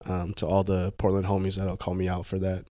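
A young man from the Pacific Northwest of the United States, a guest talking in a casual interview, halting, with many restarts and fillers. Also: deep voice, monotone, mellow, dry recording, clean studio sound.

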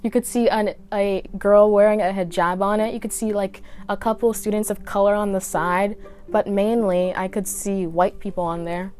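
A teenage girl, a Creole speaker, talks as a student guest on a podcast. Her pitch is average and she answers in a casual, conversational way. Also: steady tone, moderate pace, darkish tone, soft background music. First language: Haitian Creole.

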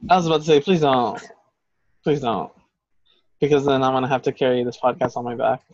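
A man's voice: mid-range voice, a little fast, darkish tone, no echo, highs cut off. A man co-hosting a podcast in casual chat, talking in a heated, profane, rambling way. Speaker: podcast co-host.

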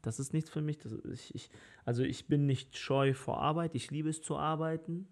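A man's voice: fairly high pitch, steady tone, normal speed. A man in his thirties, a party organizer, chatting casually on a podcast with lots of fillers and easy laughter. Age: 30s. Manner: easygoing, laughs often, many fillers.

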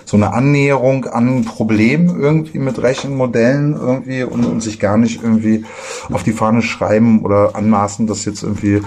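A man's voice: medium pitch, fairly even intonation, normal speed, a little mellow, a little hiss or hum. A man co-hosting a podcast, rambling casually and leaning on filler words. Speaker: podcast co-host.

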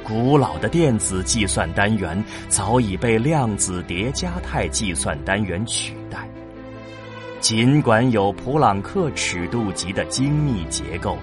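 A man reading scripted narration for a science fiction audio drama. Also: lowish voice. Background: music under the voice.

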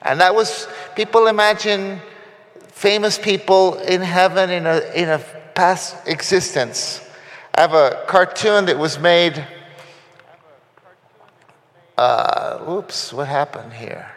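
A man's voice: sits high, measured pace, fairly bright tone, in a large hall. A man from Costa Rica giving a conversational sermon, with frequent fillers and restarts. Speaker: guest preacher. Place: church sanctuary.

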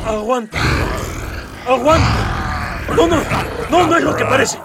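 An actor playing a hapless, panicky Earthling castaway in a comic radio soap, plaintive and groaning. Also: male voice, very high-pitched, measured pace, recorded from a distance, very noisy. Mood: panicked.

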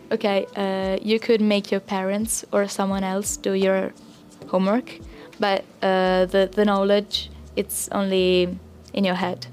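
Flat-toned young woman. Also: mid-range voice. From Italy. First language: Italian. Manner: hesitant with fillers. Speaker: guest student. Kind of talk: casual interview answers.

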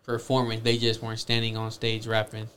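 A man's voice: lowish voice, completely flat delivery, a little fast, fairly bright tone, clean tone. A man co-hosting a podcast in casual chat, blunt and slangy, arguing his points and swearing freely. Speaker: podcast co-host.

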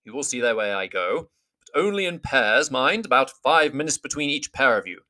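A man voicing an old wizard, measured and formal in speech. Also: medium pitch, animated, pushed and bright, voice pushed up. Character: old male wizard, courteous and grave.

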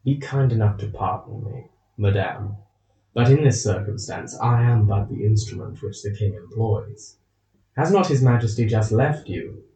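A man playing a low, echoing, sinister chancellor. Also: warm tone, natural voice. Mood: smoothly insistent. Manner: formal, over-courteous, deliberate. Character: sinister royal chancellor, coldly polite official. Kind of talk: period audio drama acting. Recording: roomy echo, distant from mic.

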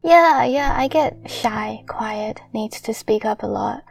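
Soft-spoken young woman with a gravelly edge. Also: fairly high pitch, slow, soft background music. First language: Chinese. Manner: soft-spoken, laughs often. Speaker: podcast co-host. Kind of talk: casual chat.

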